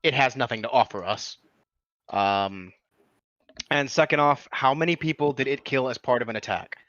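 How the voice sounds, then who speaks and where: A man's voice: medium pitch, normal speed, fairly bright tone, no room sound. Brief: male tabletop player making a firm case, lo-fi dull mic, dry and close. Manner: argues his point firmly with many fillers. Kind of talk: casual chat during an online game session.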